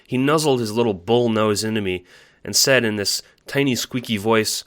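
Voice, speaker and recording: A man's voice: lowish voice, normal speed, fairly bright tone, close to an everyday voice. A man playing a meek, earnest young male troll who talks with a hesitant, apologetic stammer in an audio drama monologue. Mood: earnest, nervous. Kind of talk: audio drama monologue, recounting adventures as a log.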